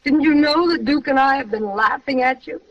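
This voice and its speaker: A woman's voice: high-pitched, fairly quick, recorded from a distance, natural voice. A woman acting a cold, mocking femme fatale in an old radio crime drama. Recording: muffled old radio recording, off-mic.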